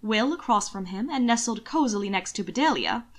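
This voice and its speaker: A woman narrates a story from a script in an amateur audiobook style. Her voice sits at an average pitch for a woman, carrying descriptive prose between lines of dialogue. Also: expressive, brisk.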